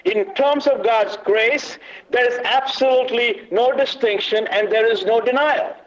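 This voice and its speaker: Man, high voice, church workshop teacher, halting with fillers, dull muffled recording. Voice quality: loud but not booming. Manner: halting, many fillers, quizzes the audience. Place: meeting room, away from the podium.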